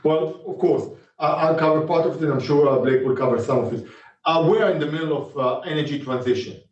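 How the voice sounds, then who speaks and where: A man with an Israeli accent, an executive in an investor interview, speaking haltingly with many fillers. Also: on the high side, darkish tone. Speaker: company executive. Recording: distant roomy muffled call audio.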